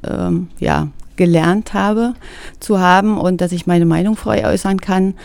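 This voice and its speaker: Gravelly-voiced woman caller in her forties. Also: medium pitch, a little mellow, dry recording. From Germany. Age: 40s. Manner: thoughtful, hesitant with fillers. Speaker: radio caller. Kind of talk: call-in statement.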